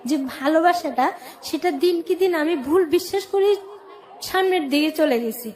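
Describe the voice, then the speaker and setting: High-pitched Bangladeshi woman telling her story. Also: normal speed, darkish tone. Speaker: guest telling life story. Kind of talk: personal life-story storytelling on radio.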